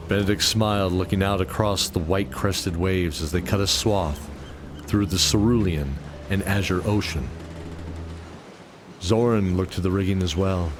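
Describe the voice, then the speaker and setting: Man, low-pitched, descriptive fantasy narration, scripted, loud noise behind the voice.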